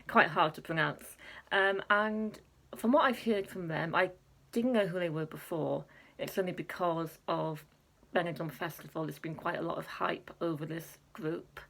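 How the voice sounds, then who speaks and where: A woman's voice: lowish voice, normal speed, creaky, fairly bright tone. Chatty woman vlogging. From Wales. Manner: chatty, many ums, laughs at herself. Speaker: Eurovision reaction vlogger. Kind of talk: casual chat to camera.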